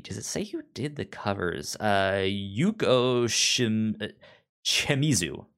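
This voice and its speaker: Slow, halting podcast co-host, unclear gender. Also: mid-range voice, expressive, fairly bright tone. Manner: hesitant, stammering delivery. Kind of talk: casual book discussion.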